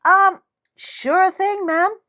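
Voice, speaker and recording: A woman's voice: very high voice, fairly even intonation, very slow, pushed and bright, pitched above the performer's own voice, heavily acted. A woman voicing a nervous, polite young boy who stammers a little in a storybook reading. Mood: uneasy.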